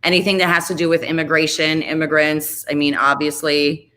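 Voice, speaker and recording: A woman's voice: low voice, level tone, a little fast, fairly bright tone. A woman in her forties, a radio host, chatting candidly and ramblingly as an interview guest. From Chicago area, US. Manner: candid, rambling, self-deprecating. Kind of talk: casual interview chat.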